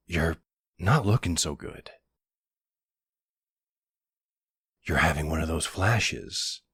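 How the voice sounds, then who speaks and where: Very deep, husky-voiced boyfriend played by a man. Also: dry recording, clean studio sound, exaggerated voice. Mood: attentive, caring. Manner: hesitant, halting, gently questioning. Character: supportive, puzzled boyfriend of a werewolf, adult man. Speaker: audio roleplay performer. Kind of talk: intimate boyfriend roleplay audio acting.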